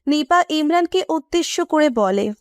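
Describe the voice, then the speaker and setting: High-pitched woman narrating a story. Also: expressive, unhurried, no room sound, studio quality.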